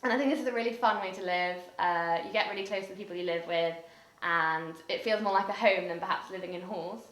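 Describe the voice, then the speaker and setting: Young English woman, lowish voice. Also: fast talker, a bit bright. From England. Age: young adult. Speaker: exchange student. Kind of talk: casual interview answers. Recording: echoey room, mic at a distance.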